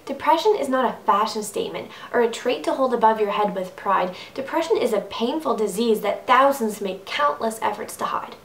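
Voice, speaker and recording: A woman's voice: a little high, lively intonation, brisk, vocal fry, hardly any pauses. A young adult woman performing a slam poem in an earnest, emphatic, rhythmic style. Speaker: slam poet. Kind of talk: slam poetry recitation. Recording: roomy, distant mic, faint noise.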